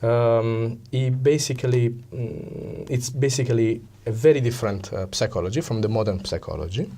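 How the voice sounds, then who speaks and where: An Italian man with an Italian accent, explaining things as an interview guest in a conversational but halting way, often pausing to search for words. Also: mid-range voice, mellow. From Italy. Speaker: interview guest, psychology coach.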